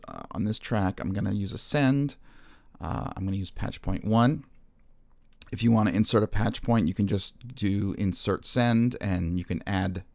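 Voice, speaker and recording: A man presenting an informal step-by-step music software demonstration, haltingly thinking aloud as he goes. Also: fairly low pitch, creaky, dry recording. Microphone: microphone recorded straight into screen-capture software.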